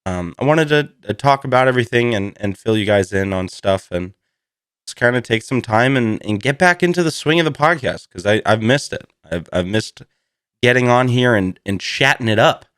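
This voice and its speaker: A man hosting a solo podcast in a casual, rambling, self-deprecating way, slightly hoarse from a lingering cold. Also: medium pitch, lively intonation, normal speed, fairly bright tone, no echo, clean studio sound. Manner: rambling, self-deprecating, laughs through his words. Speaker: podcast host.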